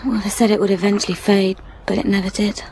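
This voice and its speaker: An actress playing a fragile, guarded young widow in a radio drama, with a halting, emotional delivery. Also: female voice, mid-range voice, flat delivery, a little mellow, slight background noise, close to an everyday voice. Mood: shy, subdued. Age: young adult. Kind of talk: naturalistic radio drama acting.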